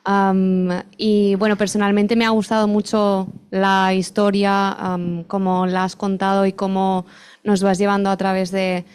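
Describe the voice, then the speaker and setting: A woman from Spain in the audience, giving a soft and hesitant comment with many fillers. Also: medium pitch, little pitch movement, measured pace, clean tone. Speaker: audience member. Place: library event room.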